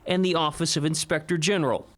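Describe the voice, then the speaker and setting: Higher-pitched American male news reporter. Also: fairly even intonation, fast. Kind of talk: scripted news narration.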